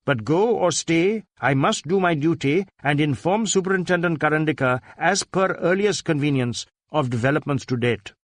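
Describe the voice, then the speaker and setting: A man's voice: a little high, normal speed, few pauses, no room sound, voice pushed up, lightly acted. A man voicing a dutiful, deferential Indian police inspector in an audiobook, formal and stubbornly earnest, with an Indian accent. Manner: formal, stiffly polite, officialese.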